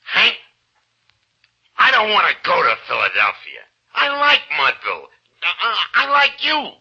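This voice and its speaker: An actor playing a simple, reluctant small-town baseball hero in an old radio drama, with a deep gravelly voice, colloquial American speech and a stammering, slow-witted manner. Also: male voice, huge pitch swings, sharp tone, heavily acted. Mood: earnest, pleading. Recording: dull vintage radio broadcast.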